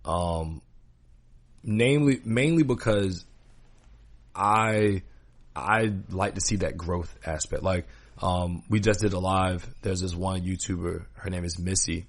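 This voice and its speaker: A man's voice: low voice, slow, no echo. A man hosting a podcast, talking casually and earnestly in slangy language, giving his opinions and repeating points for emphasis. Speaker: podcast host. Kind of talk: casual conversational podcast talk.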